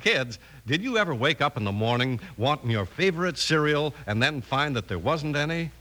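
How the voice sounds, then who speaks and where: A man's voice: on the high side, lively intonation, normal speed, background noise. An American man announcing in a polished, salesmanlike 1950s radio style. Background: music.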